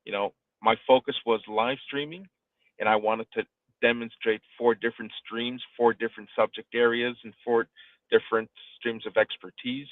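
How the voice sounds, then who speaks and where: A man's voice: mid-range voice, level tone, normal speed, no echo. A man giving conversational coaching advice as a host, speaking emphatically and repeating phrases for weight. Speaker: live streaming coach hosting an audio discussion. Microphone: live online audio room connection. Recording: tinny phone-like online call audio.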